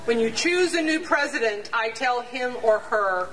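An older woman reading a prepared statement aloud to a rally on an outdoor lawn, measured and deliberate, sometimes stumbling and restarting over numbers. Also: fairly high pitch, expressive, fairly bright tone. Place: outdoor campus lawn before a large crowd. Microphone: standing mic on stand, picked up from a distance. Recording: distant outdoor pickup with crowd noise.

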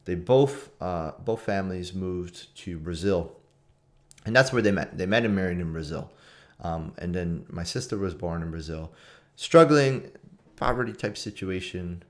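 A Canadian man in his late thirties speaks in a deep register. He tells a personal story in an informal, conversational way, often repeating a phrase to drive it home. It has the feel of a video host talking straight to his followers from a room at home. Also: lively intonation, unhurried. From Toronto, Canada. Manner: informal, conversational, with repetition for emphasis. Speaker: trader and trading community video host. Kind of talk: casual personal storytelling to camera.

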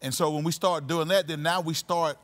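A man who is a pastor, hosting a podcast and speaking in a measured, affirming way. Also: a little high, steady tone, fairly bright tone. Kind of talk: conversational interview.

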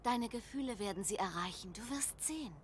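A woman's voice: medium pitch, animated, brisk, breathy edge. A woman playing a loyal, outspoken girl in an audio drama, talking in a direct, emotive way. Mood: tender, earnest. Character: loyal, outspoken girl consoling her sad friend. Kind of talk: German audio drama acting. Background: music.

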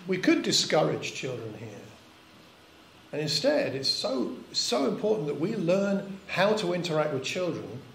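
A man's voice: on the high side, big pitch swings, measured pace, creaky, fairly bright tone, a little hiss or hum. An older man preaching a sermon in church, earnest and reflective, often asking rhetorical questions. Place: church hall. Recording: echoey church recording.

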